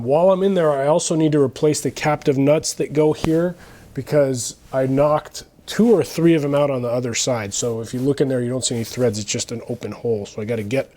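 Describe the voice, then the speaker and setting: Deep-voiced man, garage vlog host, lapel mic, chatty and meandering. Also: mellow. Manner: chatty, thinking aloud, frequent uh and restarts. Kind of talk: casual talk to camera. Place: home garage workshop.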